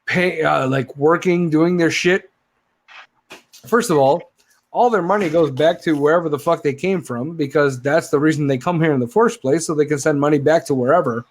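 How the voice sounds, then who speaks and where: A man's voice: on the high side, normal speed. A man in his late thirties ranting profanely in a casual live-stream chat as host. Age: 30s. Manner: profane, heated rant. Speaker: podcast host.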